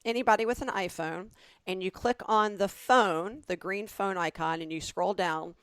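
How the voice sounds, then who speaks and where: A woman's voice: mid-range voice, normal speed, a bit bright, clear, resonant tone, dry recording. A woman from the American South co-hosting a podcast, explaining things at length in a chatty way and checking in with "right?". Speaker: podcast co-host. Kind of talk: casual conversational explaining.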